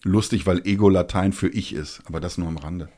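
A middle-aged man with a fairly low voice hosts a casual podcast. He explains things patiently like a teacher. He keeps slipping in wry, ironic asides. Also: brisk, mellow. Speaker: podcast host. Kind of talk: casual chat.

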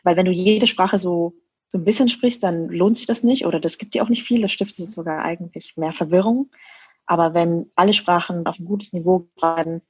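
A young woman in a casual group discussion, quickly and firmly arguing her point. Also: lowish voice, fairly even intonation, a little mellow, breathy edge, dry recording, muffled recording. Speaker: panel guest.

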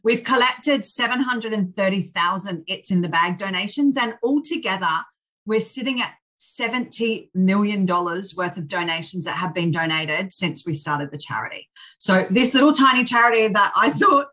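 Bright, blunt Australian woman. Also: mid-range voice, moderate pace. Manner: blunt, energetic, plain-spoken. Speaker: charity founder, guest. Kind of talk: candid interview chat.

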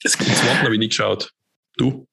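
A man, co-hosting a podcast in relaxed, rambling casual chat. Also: medium pitch, brisk, vocal fry, slightly rough, dry recording. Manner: relaxed, chatty, rambling with fillers. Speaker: podcast co-host.

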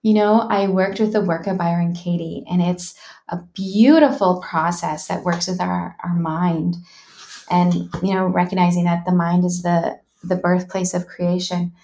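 A woman's voice: fairly low pitch, fairly even intonation, a little slow, mellow. A woman from Canada, a life coach, talking candidly and thoughtfully about her own healing, with frequent "like" and "you know.". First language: English. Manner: frank, thoughtful, many "like" and "you know" fillers. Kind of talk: reflective personal storytelling.

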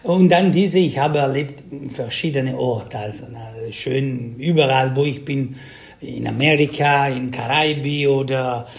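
A man from South Tyrol with an Italian accent, a singer answering interview questions in halting German full of fillers. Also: medium pitch. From South Tyrol, Italy. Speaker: Schlager singer, interview guest.

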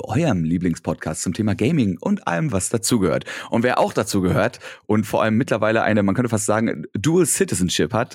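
A man's voice: on the low side, husky, no room sound, studio quality. A man with a Berlin accent hosting a podcast in casual chat, rambling and joking, often correcting himself mid-sentence. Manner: rambling, self-correcting, jokey. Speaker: podcast host.

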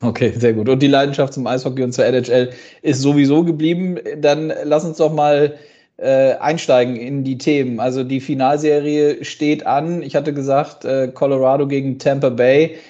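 A man's voice: medium pitch, steady tone, moderate pace, mellow. A man hosting a conversational interview, on a slightly lo-fi recording. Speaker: interviewer, podcast host.

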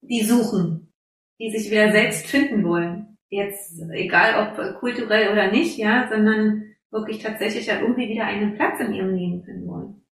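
A woman hosts the conversation, thinking aloud in long, searching sentences before she asks her question. Her pitch is mid-range and ordinary. The recording carries heavy room echo. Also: normal speed. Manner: reflective, frequent "mhm" backchannels. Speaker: interviewer. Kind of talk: conversational interview. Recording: echoey room.

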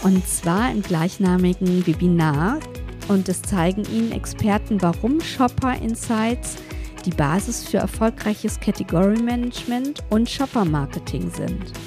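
A woman hosts a business podcast in a friendly, upbeat way, with a pitch that sits in the middle of her range. Music plays clearly beneath her voice. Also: unhurried. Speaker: podcast host and PR lead.

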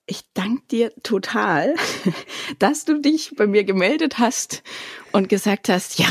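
A woman hosts a podcast in a relaxed, friendly way. She talks slowly and often stops on small fillers while she finds her words. Her voice sits close and dry, with no room around it. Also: medium pitch, dry recording. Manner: friendly, hesitant with frequent fillers. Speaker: podcast host. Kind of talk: casual interview.

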